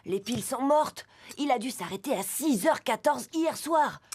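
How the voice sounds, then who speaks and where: A woman voicing a cheeky, scheming schoolboy in an animated series dub, talking fast and bossily. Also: high voice, a little hiss or hum. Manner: fast, bossy, persuasive.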